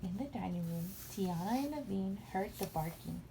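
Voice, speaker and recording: A woman's voice: medium pitch, animated, moderate pace, background noise. A woman reading a storybook aloud as a mother would at bedtime for a young child.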